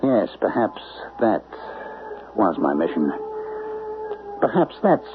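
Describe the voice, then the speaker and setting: A man's voice: on the low side, big pitch swings, takes it slowly, background noise. An actor playing a brooding male writer of supernatural stories, narrating a 1970s radio drama in an introspective, questioning way. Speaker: narrator and lead character, a writer. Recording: tinny, lo-fi old radio broadcast with music.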